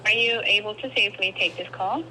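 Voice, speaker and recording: A woman's voice: mid-range voice, fast talker, bright, forceful tone. A woman working as a telephone survey interviewer, polite and persistent, repeating her questions through a phone speaker. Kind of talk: scripted phone survey with hesitant ad-libs. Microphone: phone call picked up by a camera in a garage. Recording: noisy phone call over speakerphone.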